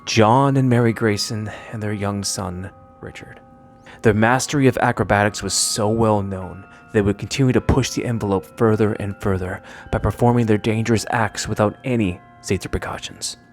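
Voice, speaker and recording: A man's voice: fairly low pitch, fairly even intonation, moderate pace, faint music behind. A man delivering scripted narration as the presenter of a video essay.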